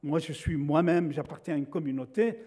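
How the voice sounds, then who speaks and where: Measured man, mellow voice. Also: a little high, husky. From northeastern Syria. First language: Syriac (Aramaic). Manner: measured, explanatory, repeats words while searching. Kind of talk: lecture-like talk.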